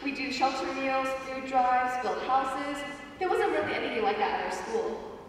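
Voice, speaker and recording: A woman's voice: high voice, normal speed. Young woman on a far, echoey stage. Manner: lively, swings to loud excited shouts. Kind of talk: amateur stage play acting. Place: theater stage, heard from the audience. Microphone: far audience camera. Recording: echoey hall from far off, faint noise.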